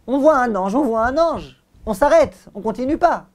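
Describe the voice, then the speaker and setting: A man's voice: very high-pitched, lively intonation, moderate pace. A man speaking as a rabbi giving a religious lecture, didactic and emphatic, with rhetorical questions and repeated phrases. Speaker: rabbi giving a Torah lesson.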